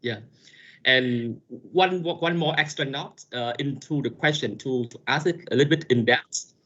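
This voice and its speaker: A man's voice: medium pitch, a little slow, a bit bright, dull audio. A man from the audience asks a question in an online talk, hesitating and repeating words as he searches for how to say it. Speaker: audience member asking a question.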